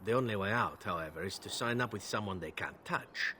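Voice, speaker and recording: An actor playing a roguish captured assassin in a video game, wry, flippant and courteous. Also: male voice, fairly low pitch, fairly quick, pushed and bright, slight background noise. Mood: persuasive, wry. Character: roguish, glib captured male elf assassin. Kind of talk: video game character dialogue.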